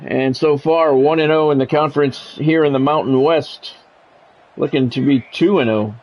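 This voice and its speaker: A man's voice: a little high, steady tone, normal speed, muffled recording. An American man doing football play-by-play on a gaming stream, mixed with casual chat. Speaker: gaming streamer calling play-by-play of own game; former radio sports play-by-play announcer.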